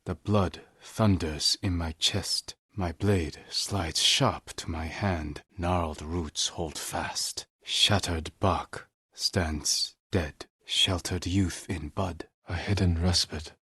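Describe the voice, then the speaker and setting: A reflective, honor-bound samurai from a video game. His voice is low and heavily husky, close and dry. He speaks in hushed, deliberate phrases, as if reciting poetry, with grave restraint. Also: fairly even intonation, no echo, exaggerated voice. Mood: solemn, contemplative. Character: solemn, reflective male samurai warrior, stoic and honor-bound. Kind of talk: measured poetic recitation, video game acting.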